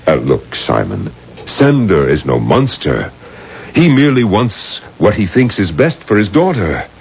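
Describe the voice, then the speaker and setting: A man's voice: deep voice, big pitch swings, measured pace, gravelly, a little mellow, a little hiss or hum, full character voice. An actor playing a fatherly, cautious older rabbi who admonishes expressively in a 1970s radio drama. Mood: gently scolding. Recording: dull, muffled 1970s radio recording.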